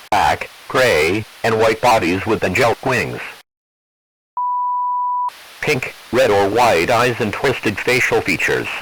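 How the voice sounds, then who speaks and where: A man reads a scripted emergency broadcast as an official announcer. His voice is fairly low and impersonal, with a faint hiss like radio behind it. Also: fairly bright tone, low-quality recording. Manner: measured, impersonal official delivery.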